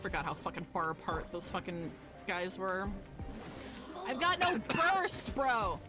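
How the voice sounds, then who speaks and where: A woman's voice: mid-range voice, big pitch swings, unhurried. A woman streaming a video game live, commenting casually in an informal, sweary, self-mocking way. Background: game music.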